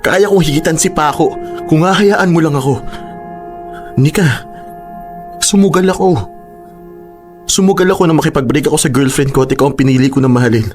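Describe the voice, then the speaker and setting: A man's voice: a little high, fairly quick, pauses often, darkish tone, lightly acted. Guy acting as pushy lovesick young filipino man, teasing n emotional, radio drama, faint music under. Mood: pleading. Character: ardent, persistent young man pleading his love.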